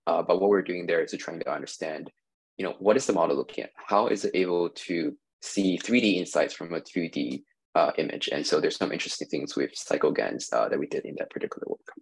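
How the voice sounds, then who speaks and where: A man giving a recorded conference talk over slides, measured and explanatory, with many "uh" fillers, on a webcam mic. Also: low voice, gravelly, slightly rough, poor audio. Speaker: industry researcher giving a talk.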